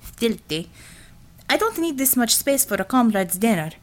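A woman voicing a proud, sharp-tempered Russian communist commissioner in an audiobook, with a Russian accent and blunt, clipped broken English. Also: on the high side, big pitch swings, normal speed. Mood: scornful.

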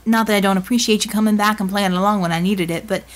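A woman's voice: medium pitch, steady tone, fast, lightly acted. A woman narrator voicing a proud, wounded ex-soldier tending bar in an audiobook, sardonic and defiant. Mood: wry gratitude.

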